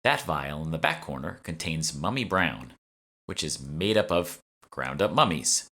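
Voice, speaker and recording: A man's voice: extremely low pitch, expressive, a little slow, sharp tone, exaggerated voice. A man voicing a polite, earnest woman curator who explains things courteously in an audiobook. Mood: proudly explaining. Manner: courteous, informative, eager to please. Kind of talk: audiobook character dialogue.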